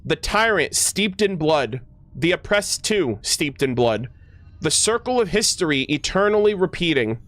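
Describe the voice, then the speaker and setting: A man streams a game with enthusiastic, slangy commentary. His voice sits a little high and he takes his time, moving between casual reactions and reading text aloud, stumbling here and there. The sound is dry, with very little room to it. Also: sing-song, unhurried, fairly bright tone, dry recording. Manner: enthusiastic, slangy, often stumbles over words.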